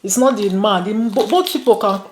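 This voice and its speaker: A woman's voice: mid-range voice, fairly quick. A woman with a Nigerian accent hosting a mukbang vlog, chatting casually as she eats. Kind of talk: casual chat while eating. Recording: roomy echo, faint noise, dish clinks. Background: clicks, cutlery and dishes.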